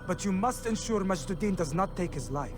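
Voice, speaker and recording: A stern assassin mentor in a video game. His voice sits fairly high, and he admonishes in measured, formal phrases with clear music underneath. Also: lively intonation. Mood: admonishing, urgent. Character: stern, reproachful assassin bureau leader giving orders. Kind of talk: video game dramatic acting. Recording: music behind.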